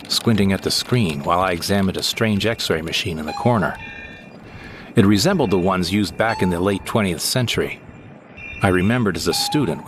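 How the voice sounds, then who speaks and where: Deep-voiced man narrating a story. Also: background noise. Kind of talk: first-person storytelling narration.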